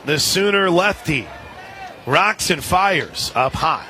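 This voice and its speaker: A man doing live radio play-by-play of softball, excitable, in short punchy calls from an outdoor stadium. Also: a little high, takes it slowly. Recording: live outdoor stadium broadcast, crowd noise. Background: loud crowd and ballpark ambience.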